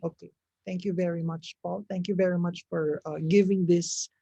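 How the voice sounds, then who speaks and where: Man, moderator, husky, dry, muffled video call. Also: high voice, little pitch movement, normal speed, mellow, no room sound. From Philippines. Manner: polite, hesitant. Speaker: event moderator. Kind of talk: moderating an online Q&A. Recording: dull lo-fi video call audio.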